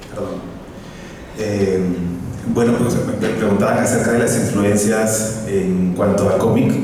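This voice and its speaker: A man's voice: fairly low pitch, warm tone, a little hiss or hum. A man, a comic book writer speaking on a conference panel, hesitant, with many fillers and restarts. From Peru. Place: conference hall. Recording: echoey hall, voice from far off.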